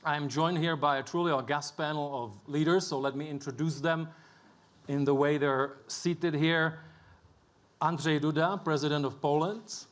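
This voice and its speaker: A man moderating a formal panel, introducing guests and putting questions to them in a measured way. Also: fairly high pitch, steady tone. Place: conference stage with an audience.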